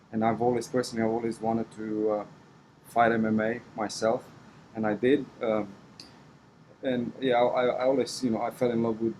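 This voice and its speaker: A man with a Romanian accent giving conversational interview answers as a coach, halting and repeating words as he goes. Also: lowish voice, a little mellow, slight background noise, low-quality recording. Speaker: wrestling coach.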